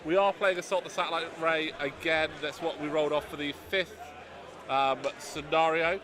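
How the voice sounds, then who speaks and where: A British man calls a tabletop tournament live, his voice pitched a little high and projected loudly so it carries. He is chatty and quick to poke fun at himself. A lively crowd murmurs clearly behind him. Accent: British English. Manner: loud and chatty, self-deprecating. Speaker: tournament commentator and host. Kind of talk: live game commentary. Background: crowd chatter of a tournament hall.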